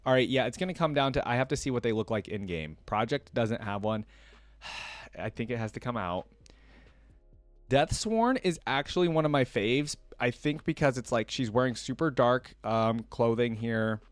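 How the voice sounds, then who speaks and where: A man's voice: medium pitch, animated, moderate pace, no echo. A man hosting a reaction video, giving casual commentary in an informal way, thinking aloud and often indecisive.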